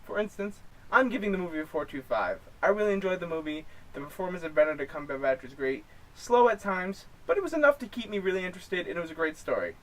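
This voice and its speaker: A man's voice: sits high, sing-song, quick pace, few pauses. A man co-hosting a film review show, chatting casually and explaining his opinions. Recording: distant mic, faint room noise.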